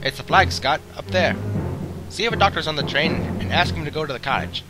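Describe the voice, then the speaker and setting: A steady engine driver, played by a man, talks in a slightly raised, everyday voice. He is practical and calm, giving orders without fuss. Loud music sits behind him. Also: a little high, a little fast, natural voice, low-quality recording.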